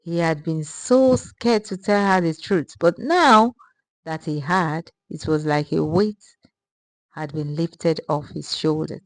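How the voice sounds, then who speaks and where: A woman telling a story in an even, measured way. Her voice sits a little low, and the recording is dry and close with no room sound. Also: no echo, poor audio. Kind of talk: scripted story narration.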